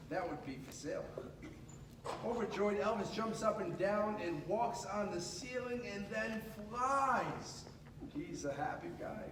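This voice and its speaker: A man reading a children's picture book aloud in an animated, dramatic way, pausing and stretching words for emphasis. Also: high-pitched. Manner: dramatic, with pauses and drawn-out emphasis. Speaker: radio host reading a storybook aloud. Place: echoey room at a school. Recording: echoey room, distant mic, clear background noise.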